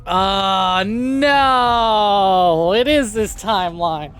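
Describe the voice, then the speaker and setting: A man's voice: high voice, very slow, fairly bright tone, soft background music. A man giving casual live-stream commentary on a game, sweary and thinking aloud.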